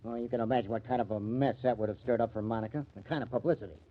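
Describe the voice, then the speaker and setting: An actor playing an anxious, earnest press agent in a radio drama, hesitant and placating. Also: male voice, mid-range voice, fairly even intonation, very fast, slight background noise, natural voice. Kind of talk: 1950s radio drama acting. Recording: dull vintage radio recording.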